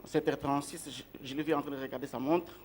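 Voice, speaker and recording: Male preacher, higher-pitched, measured and reflective, questions the congregation and repeats key words. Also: steady tone, a little mellow, husky. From outside Belgium. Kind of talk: sermon.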